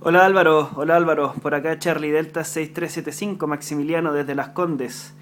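Chilean ham radio operator, man, higher voice, friendly chat, faint hum behind. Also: fairly even intonation, normal speed. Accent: Chilean Spanish. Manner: friendly, chatty, uses call signs. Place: home room at a radio desk.

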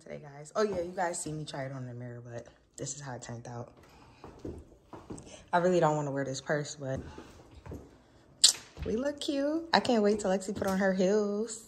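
A woman's voice: on the low side, expressive, normal speed, pauses often. A woman in her twenties with an American accent, chatting casually and in slang for her vlog on a handheld camera. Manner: informal, slangy. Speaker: vlogger. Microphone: handheld camera at arm's length. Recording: noisy handheld camera recording.

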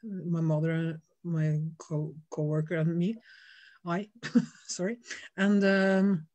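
A woman's voice: on the low side, steady tone, darkish tone. A middle-aged woman from Norway with a Norwegian accent, speaking English as a second language, talking frankly and unhurriedly as a dog trainer in a video call interview from her home. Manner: frank, laughs easily, unhurried. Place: home room with shelves.

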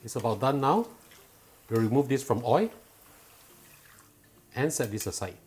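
A middle-aged man hosting a cooking show, explaining each step calmly and patiently in a home kitchen. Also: mid-range voice, measured pace. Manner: calm, patient and instructive, enthusiastic when tasting.

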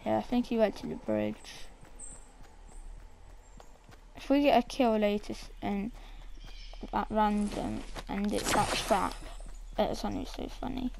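A woman with a croaky, gravelly voice, coughing now and then, casually commenting on her own video game play. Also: mid-range voice, sing-song, normal speed, darkish tone, slight background noise, low-quality recording. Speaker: gameplay streamer.

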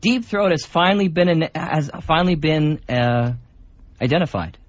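A man's voice: a little high, animated, moderate pace, no echo. A man hosting community radio with rambling, jokey, unscripted banter. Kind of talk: casual unscripted co-host banter. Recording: dull lo-fi radio recording.

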